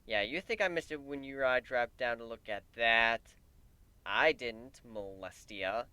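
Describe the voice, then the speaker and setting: A man's voice: mid-range voice, measured pace, pushed and bright, clear, resonant tone, no echo, pitched below the performer's own voice. A man voicing a cocky, sarcastic griffin pirate captain with brash, flippant swagger in a fantasy story reading. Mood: dry, defiant.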